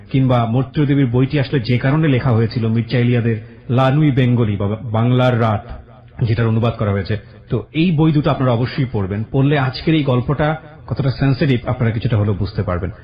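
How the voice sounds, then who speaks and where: A man hosting a radio interview, asking questions and adding reflective comments to a guest's story. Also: mid-range voice. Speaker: radio host. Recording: dull lo-fi radio sound.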